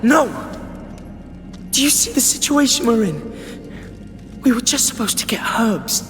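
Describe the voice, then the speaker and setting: A woman's voice: fairly high pitch, sing-song, slow pace. A woman playing a defiant, angry teenage girl in a dramatic medieval video game, in a bitter, challenging manner. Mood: desperate, angry. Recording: long reverb, music behind.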